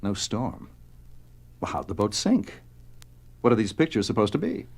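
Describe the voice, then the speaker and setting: An actor playing a thoughtful paleontologist who explains things, in a 1970s radio drama. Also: male voice, on the low side, very animated, moderate pace, creaky, a little mellow, breathy edge, exaggerated voice. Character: learned paleontologist captain, middle-aged man.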